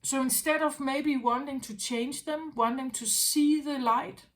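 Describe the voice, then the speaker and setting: A woman's voice: high voice, steady tone, a little slow. A woman talking as a tarot reader in an unscripted spiritual monologue, musing aloud, repeating her phrases and often checking in with "right?".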